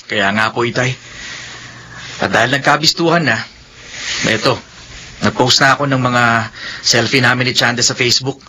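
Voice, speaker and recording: Man acting a bright-voiced, stubborn young lover. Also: medium pitch, fairly even intonation, moderate pace, pauses often, slight background noise. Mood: resolute. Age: young adult. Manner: indignant, earnest. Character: proud, stubborn young tricycle driver in love. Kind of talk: melodramatic radio drama acting.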